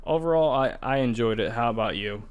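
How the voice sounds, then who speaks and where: A man's voice: mid-range voice, animated, fairly quick, smooth, dull audio. A man hosting a podcast, casually discussing gaming news in a conversational way, often restarting his phrases. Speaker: podcast host.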